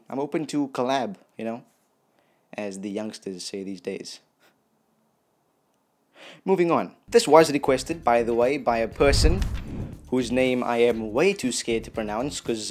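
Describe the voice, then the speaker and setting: A young man presenting a casual tutorial in a jokey, self-deprecating, chatty way. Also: mid-range voice. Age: young adult. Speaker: host of a video effects tutorial channel. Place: small dim room indoors.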